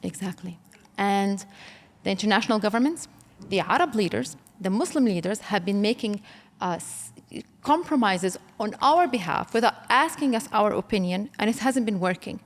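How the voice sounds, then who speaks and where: A woman's voice: mid-range voice, lively intonation, normal speed. A middle-aged woman who grew up in Kuwait, speaking with passion as an interview guest and building to emphatic outbursts. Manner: impassioned, rising to emphatic outbursts. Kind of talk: emotional interview. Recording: long reverb hall.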